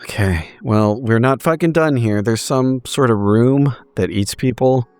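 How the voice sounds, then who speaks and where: A man's voice: mid-range voice, unhurried, a little mellow, clear, resonant tone, no echo, soft background music. A man playing a tabletop roleplaying game, talking casually and in character in a blunt, exasperated, sweary way.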